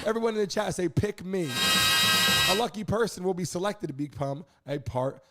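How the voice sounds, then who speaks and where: A very high-voiced man hosts a sports livestream with rowdy, shouted energy. He swears freely and sings out some of his calls to viewers. Loud music runs underneath him. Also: lively intonation, brisk. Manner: boisterous, shouting, heavy casual swearing. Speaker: livestream show host. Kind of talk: hyped live sports-stream chatter. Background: music and broadcast audio.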